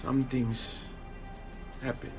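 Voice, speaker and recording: A man's voice: mid-range voice, level tone, takes it slowly, music in the background, lightly acted. A man playing a grieving, protective young nobleman with an Italian accent in a video game. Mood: subdued, protective. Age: young adult. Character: grieving, protective young Florentine nobleman, earnest older brother. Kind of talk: video game cutscene acting.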